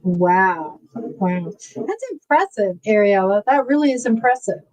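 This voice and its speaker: Woman host on a video call, talks slow, keeps saying wow and cheering the guest on. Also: medium pitch, lively intonation, darkish tone, poor audio. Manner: encouraging, supportive interjections. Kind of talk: casual interview on a video call.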